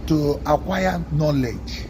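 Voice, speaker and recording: Slow, deliberate Nigerian man teaching. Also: a little high, background noise. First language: Igbo. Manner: measured, stresses single words, asks listeners "okay?". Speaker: speaker on a gospel livestream.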